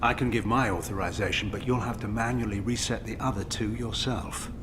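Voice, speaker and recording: An actor playing a defensive scientist in a video game, earnest and grave, explaining himself with emphasis. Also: male voice, on the low side, fairly even intonation, brisk, background noise, lightly acted. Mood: grave, explanatory. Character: defensive male scientist justifying his disastrous experiment. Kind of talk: dramatic video game dialogue acting.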